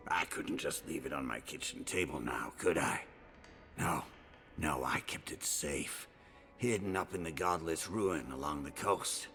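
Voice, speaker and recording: An actor playing a sick old braggart of a hero in a fantasy video game, rambling and wistful. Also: male voice, rumbling low, unhurried, a bit bright, exaggerated voice. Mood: confiding, pleading. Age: older. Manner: rambling, boastful storytelling. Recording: music behind the voice.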